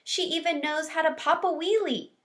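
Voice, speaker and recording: A woman reading a children's storybook aloud in an expressive, animated way. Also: very high-pitched, normal speed. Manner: animated, with varied character lines. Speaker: read-aloud teacher or storyteller.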